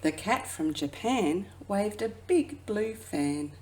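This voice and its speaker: A woman who works as a children's educator reads a picture book aloud expressively, drawing out phrases and dropping into hushed asides. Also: medium pitch, expressive, takes it slowly, slight background noise. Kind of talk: children's storybook read-aloud.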